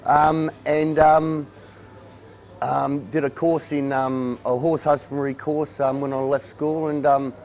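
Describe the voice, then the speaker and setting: A man's voice: a little high, little pitch movement, slow, a little mellow. A man with an Australian accent, speaking plainly and hesitantly in a documentary interview.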